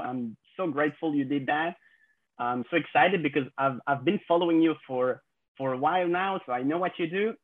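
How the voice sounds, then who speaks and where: A man in his twenties with a French accent, a podcast guest telling his story in a halting way, with many fillers and restarts. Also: a little high, narrow, tinny audio. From France. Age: 20s. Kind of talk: casual interview storytelling.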